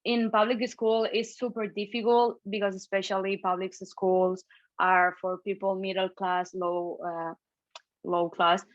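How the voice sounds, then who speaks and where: A Catalan woman with a Spanish accent talks as a podcast guest, lively and quick to laugh. Her voice comes through a dull, slightly lo-fi mic. The sound is dry and close. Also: mid-range voice, no room sound. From Catalonia, Spain. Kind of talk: casual conversation.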